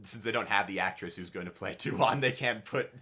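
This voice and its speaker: A man's voice: on the low side, brisk, pushed and bright. A man co-hosting a casual reaction discussion, rambling and stammering with lots of filler "like". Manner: rambling, stammering, heavy use of "like". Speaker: co-host of a TV review show.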